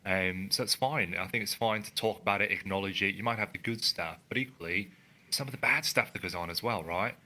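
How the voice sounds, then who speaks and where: A man co-hosts a relaxed studio podcast, speaking in a low-pitched but very bright, forceful voice. He is earnest and emphatic and works his thoughts out aloud as he goes. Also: fairly quick. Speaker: podcast co-host. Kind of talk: casual conversational podcast. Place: studio in a building. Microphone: studio microphone.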